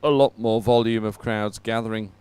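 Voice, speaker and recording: A man's voice: fairly low pitch, steady tone, normal speed, a little mellow, clear, resonant tone. A man presenting live coverage of a sporting event to viewers, talking as a broadcast host.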